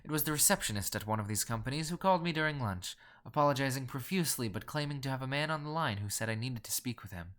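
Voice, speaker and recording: A man's voice: mid-range voice, animated, fast talker, hardly any pauses, fairly bright tone, lightly acted. A man playing a pompous, ruthless wealthy collector, telling a dramatic horror story in formal, cool, theatrical phrasing. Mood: haughty, guarded. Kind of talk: dramatic first-person horror narration.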